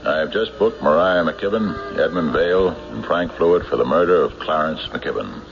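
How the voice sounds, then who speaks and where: An actor playing a stubborn small-town county sheriff in a 1970s radio drama, speaking in an emphatic, insistent, deliberate way. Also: male voice, very deep voice, vocal fry. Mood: matter-of-fact. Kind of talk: 1970s radio drama acting, logbook narration and dialogue. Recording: dull 1970s radio recording, music behind.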